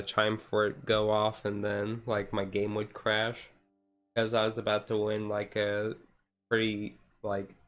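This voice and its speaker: A man streamer chatting casually in a chill, low-key livestream, rambling along with hesitant pauses. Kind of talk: casual chat, ASMR-style chill livestream.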